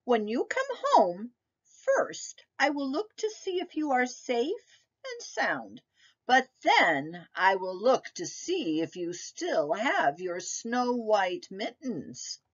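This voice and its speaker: A woman reader puts on a caring old grandmother from a children's storybook. She speaks slowly and emphatically, with a sing-song warning tone that lifts and dips widely. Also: a little high, huge pitch swings, lo-fi sound, voice pushed up, full character voice. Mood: gentle warning.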